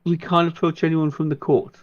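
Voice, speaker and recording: A man's voice: a little high, flat delivery, fairly quick. A man speaking casually as a player, arguing out plans in a tabletop roleplaying game. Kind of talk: casual game strategy discussion. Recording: dull lo-fi mic, faint music.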